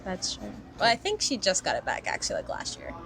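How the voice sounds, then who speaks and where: A woman's voice: medium pitch, huge pitch swings, a little fast, background noise. A young woman chatting casually as a podcast guest, answering questions. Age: young adult. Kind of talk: casual chat.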